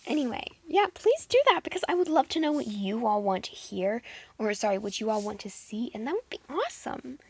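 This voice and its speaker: A teenage girl vlogging in a casual, chatty way, giggling and saying "like" a lot, her voice going a bit hoarse. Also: on the high side, sing-song, fairly quick. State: losing her voice. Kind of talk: casual chat to camera.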